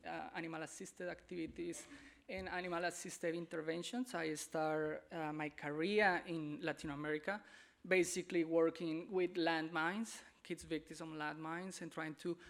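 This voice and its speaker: A man with a Colombian Spanish accent presents to a conference audience, his voice somewhat high with a gravelly edge. He talks haltingly, restarting phrases and hunting for English words. Spanish is his first language. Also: fairly bright tone. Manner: halting, searching for words, repeats himself. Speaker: conference speaker. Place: conference hall.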